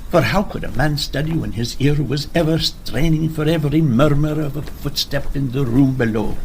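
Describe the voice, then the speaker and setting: Creaky-voiced man narrating a period mystery. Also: mid-range voice, a little hiss or hum, poor audio.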